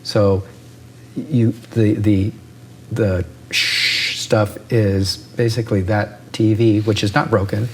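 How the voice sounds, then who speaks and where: A man's voice: lowish voice, flat delivery, takes it slowly, a little hiss or hum. A man, an ear doctor, explaining with analogies in an interview and often checking in with "right?".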